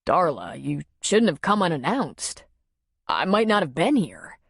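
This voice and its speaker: Woman doing a calm, weary man. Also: gravelly, husky, dry recording, studio quality, exaggerated voice. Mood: firm. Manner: lowered, measured, soothing.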